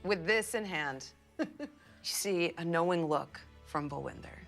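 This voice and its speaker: A woman's voice: lowish voice, a little slow, gravelly, faint music behind. A woman running a tabletop game as game master, narrating playfully and slipping into character voices.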